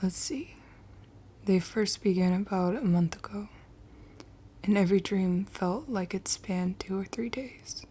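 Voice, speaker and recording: A woman playing a troubled, weary male patient in a horror manga dub, hushed, earnest and haunted. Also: mid-range voice, unhurried, a little hiss or hum, close to an everyday voice.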